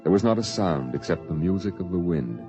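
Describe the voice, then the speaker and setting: An actor narrating a 1950s science-fiction radio play in a solemn, measured, dramatic style. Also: male voice, low-pitched, animated. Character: solemn omniscient storyteller of a science-fiction tale. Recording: dull vintage 1950s radio recording. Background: faint music.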